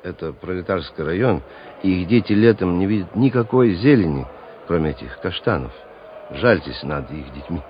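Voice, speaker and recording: Elderly Hungarian interpreter, deep, soft, halting; muffled radio drama with faint music. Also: male voice, animated, a little hiss or hum. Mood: meek, pleading. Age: older. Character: gentle old Hungarian artist acting as interpreter. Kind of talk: radio play acting.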